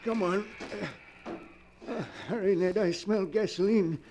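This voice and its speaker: A man acting a scheming, commanding professor swindler in a 1940s radio drama, with a theatrical, deliberate delivery. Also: high voice, fairly even intonation, mellow. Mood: urgent. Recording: vintage 1940s radio recording.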